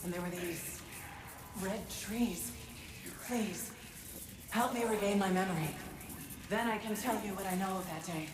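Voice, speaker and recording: An actress playing a dutiful ghost messenger in a video game, earnest and halting, echoing over background noise. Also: female voice, mid-range voice, lightly acted. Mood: dazed, urgent. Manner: halting, urgent. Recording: echoey, noisy ambient game scene.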